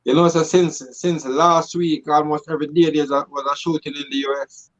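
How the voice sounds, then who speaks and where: A man's voice: a little high, little pitch movement, a little fast, highs cut off. A man with a Jamaican accent reasoning and telling stories casually, emphatic and repeating phrases to drive them home.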